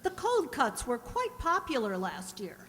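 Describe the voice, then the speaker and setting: A woman's voice: sits high, huge pitch swings, unhurried, fairly bright tone, full character voice. A woman playing a placid, absent-minded middle-aged housewife, breezy and distracted, in a radio comedy. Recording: echoey hall, long reverb.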